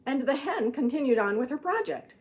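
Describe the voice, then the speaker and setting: A woman's voice: high voice, lively intonation, brisk, muffled recording. A woman storyteller narrating a scripted children's tale for a puppet show.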